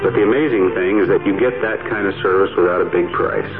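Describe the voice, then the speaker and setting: A man gives an agent's testimonial in a radio ad. His voice is deep. The old recording is muffled, with music playing behind him. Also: quick pace. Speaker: insurance agent.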